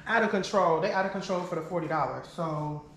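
A woman vlogging a grocery haul in her home kitchen, talking in an animated, playful way with lots of slang and repeated catchwords, filmed by a camera a few steps away. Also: lowish voice, a little fast. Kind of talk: excited casual vlog, grocery haul. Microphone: camera on the counter, a few steps away. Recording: echoey kitchen with music behind.

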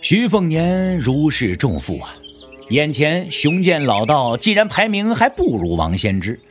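A man's voice: on the high side, very animated, a little slow, soft background music. A man narrating a martial arts audio drama in a dramatic, scripted storytelling style.

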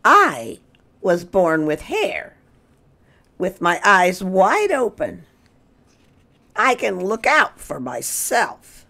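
A woman acts out a proud, indignant desert hare from a children's storybook. The delivery is very slow and emphatic, forever correcting, with lively swings in pitch and a gravelly creak. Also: exaggerated voice. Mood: proud, insistent.

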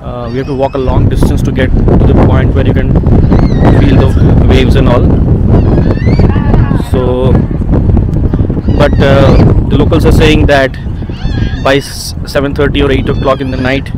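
A man narrating his travel vlog casually on a handheld phone outdoors, relaxed and chatty with many fillers. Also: medium pitch, measured pace, very noisy. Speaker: vlogger. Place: outdoors at a beach and roadside. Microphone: handheld phone camera.

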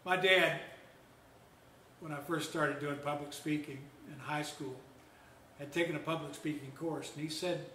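An older man, a pastor, gives a seated sermon in a measured, storytelling way with gentle humor. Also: high-pitched, a little hiss or hum. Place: church lounge with fireplace, indoors. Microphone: far camera. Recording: echoey room, voice heard from a distance.

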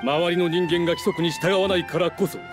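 A man plays a haughty young-blooded noble of a fallen house in a game cutscene. His voice sits high for a man, pompous and full of scorn, with prominent music underneath. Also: steady tone, brisk, close to an everyday voice. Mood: scornful, proud. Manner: pompous, scornful, archaic lordly speech. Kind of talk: theatrical video game dialogue.

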